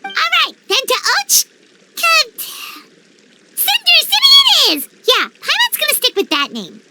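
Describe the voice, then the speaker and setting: A woman voicing a tiny, excitable, childlike fairy companion in a video game, with a squeaky voice. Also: measured pace, exaggerated voice. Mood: excited. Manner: refers to self in third person. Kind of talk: video game character acting. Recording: loud ambient game noise behind.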